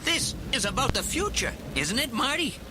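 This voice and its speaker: A man playing an excitable, eccentric older scientist in a dramatized storybook read-along, speaking in urgent, emphatic exclamations. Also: very high-pitched, normal speed, very noisy. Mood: agitated. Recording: loud music behind the voice.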